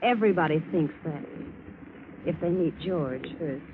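A woman's voice: deep voice, a little slow. An actress playing a bored, teasing, self-assured married American woman passenger in a vintage radio drama. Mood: coy. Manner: coy, teasing. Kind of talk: 1940s-50s American radio drama acting. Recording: tinny, noisy old radio broadcast.

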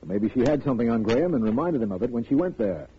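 A man's voice: mid-range voice, expressive, fast talker, lightly acted. An actor playing an earnest, insistent lawman in a 1940s radio detective drama, explaining things emphatically. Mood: eager, reasoning. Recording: muffled, noisy old radio recording.

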